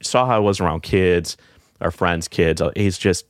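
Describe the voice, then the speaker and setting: A middle-aged man with a very low, deep voice. He talks in a reflective, halting way, circling back with fillers and restarts. The sound is close and dry, with no room around it. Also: no echo. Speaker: podcast guest. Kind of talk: casual heartfelt conversation.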